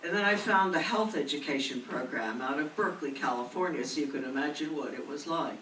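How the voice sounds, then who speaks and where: A woman's voice: deep voice, lively intonation, fairly quick, a bit bright, recorded from a distance, slight background noise. An older woman giving a rambling, chatty speech of memories to a gathering, often asking a friend to remember. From Maine. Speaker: honoree's friend and speaker. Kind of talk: casual reminiscing speech. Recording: echoey room picked up from afar.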